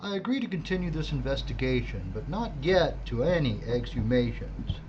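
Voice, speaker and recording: An actor playing a stern but courteous senior police officer in an audio drama, formal, clipped and officious. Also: male voice, mid-range voice, sing-song, normal speed, muffled recording, noisy background, lightly acted.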